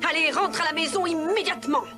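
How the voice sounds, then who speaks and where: Haughty, high-voiced cartoon stepmother. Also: fast talker. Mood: stern scolding. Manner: scolding, imperious. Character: cruel, haughty middle-aged stepmother of a fairy-tale heroine. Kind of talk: dubbed cartoon acting. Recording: music under the voice.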